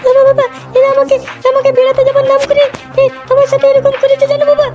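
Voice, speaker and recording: Squeaky, indignant young cartoon bride. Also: female voice, monotone, fast, over music. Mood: tearful complaint. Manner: complaining, repetitive. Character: indignant young village bride, complaining, threatening. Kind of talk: Bengali comedy cartoon dialogue.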